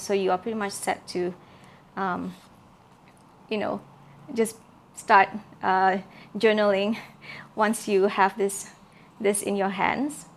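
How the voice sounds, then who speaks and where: A woman from Malaysia showing her handmade journal kits in a casual, soft-spoken way, with many fillers and repeated words. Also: mid-range voice, a little hiss or hum. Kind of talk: casual show-and-tell craft video.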